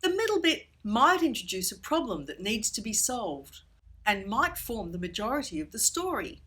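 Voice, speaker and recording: Expressive woman presenter, lightly husky. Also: on the high side, moderate pace. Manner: theatrical, expressive storytelling delivery. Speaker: puppetry workshop presenter for children. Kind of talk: instructional presentation for children.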